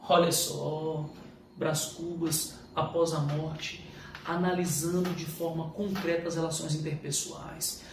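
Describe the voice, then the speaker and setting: A Brazilian man teaching a live exam-review lesson, emphatic and didactic, informal and slangy, often asking questions and answering them himself. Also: fairly high pitch. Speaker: literature teacher. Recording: echoey room, distant mic, faint noise.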